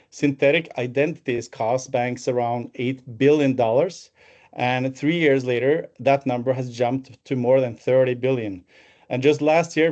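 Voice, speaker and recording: A man's voice: medium pitch, darkish tone. A man in his fifties from Norway with a Norwegian accent, presenting a business webinar from an office over a video call, with many fillers and restarts. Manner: fluent with frequent fillers and restarts. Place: office, alone. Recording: muffled lo-fi call audio.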